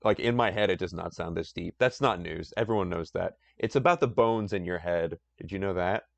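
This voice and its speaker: A man with a deep voice chatting casually on a live stream, rambling and self-deprecating. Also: brisk, vocal fry, no room sound.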